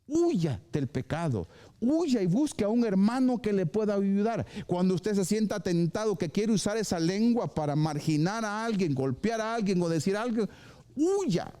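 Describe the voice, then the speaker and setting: A male pastor preaching a live sermon at a church service. He is lively, acts out short dialogues and drops English phrases into his preaching. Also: high-pitched, normal speed.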